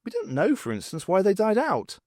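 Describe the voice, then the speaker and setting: A man reading a first-person story aloud as an expressive audiobook narrator, with wry, dramatic, emphatic phrasing. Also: high-pitched, a little fast, dry recording, studio quality. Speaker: audiobook reader.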